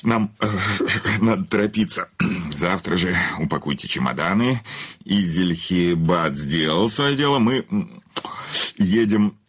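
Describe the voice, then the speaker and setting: An actor in a radio play as a menacing, self-satisfied famous writer, speaking in a mocking, theatrical way with hesitant hums and pauses. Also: male voice, deep voice, animated, husky, exaggerated voice. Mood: businesslike. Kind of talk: theatrical radio drama acting.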